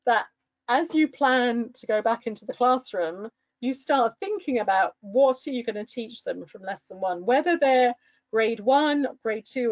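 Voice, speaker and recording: A woman with a fairly high voice, middle-aged, talks teachers through classroom phrases in an encouraging, lively way. The sound is dry and a bit dull, recorded close with no room. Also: dry recording. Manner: warm encouraging, with lively exclamations when quoting pupils. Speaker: English teacher trainer. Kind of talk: teacher training talk.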